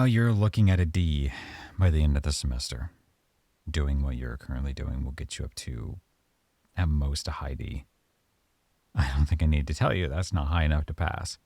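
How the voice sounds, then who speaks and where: Deep, gravelly male lead for a close-mic ASMR roleplay, dry sound, calm and measured. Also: no room sound. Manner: calm, measured, softly stern. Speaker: audio roleplay performer as a professor.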